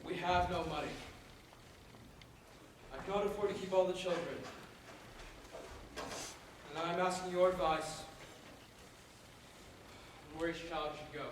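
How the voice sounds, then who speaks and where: A man's voice: high voice, measured pace, pauses often. A young man playing a cold, bitter reverend husband in a school stage play. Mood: grave. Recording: distant echoey auditorium, audience noise.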